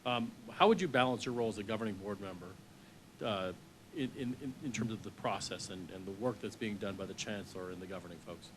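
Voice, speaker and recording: A male moderator with a lowish voice asks questions briskly at a candidate forum. Faint noise sits behind him.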